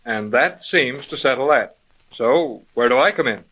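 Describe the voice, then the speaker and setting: An actor playing a breezy, wisecracking young detective's assistant in a slangy, cocky 1930s radio drama style. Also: male voice, mid-range voice, lively intonation, unhurried, noisy background, lightly acted. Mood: breezy, eager. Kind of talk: 1930s old-time radio drama acting.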